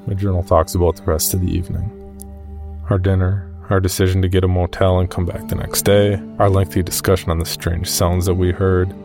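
A man playing an uneasy cave explorer, recounting his expedition in measured first-person horror narration. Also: low-pitched, creaky. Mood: reflective, uneasy. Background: music.

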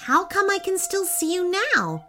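A woman's voice: very high-pitched, sing-song, moderate pace, sharp tone, faint music behind, pitched above the performer's own voice, exaggerated voice. A woman voicing a kind-hearted, curious human girl with an eager, helpful manner in a storybook. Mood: curious, hopeful.

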